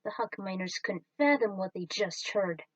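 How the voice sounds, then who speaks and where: A woman's voice: medium pitch, lively intonation, moderate pace, no room sound, far from the mic, poor audio. A woman reading her own fan story aloud in a casual, offhand way. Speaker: fan-fiction reader.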